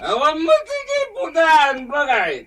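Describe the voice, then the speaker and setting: An actor with an Ilocano accent playing a slurring, silly, stubborn drunk husband in a radio comedy drama. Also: male voice, very high-pitched, huge pitch swings, takes it slowly, a bit bright, slight background noise, exaggerated voice. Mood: drunken babbling.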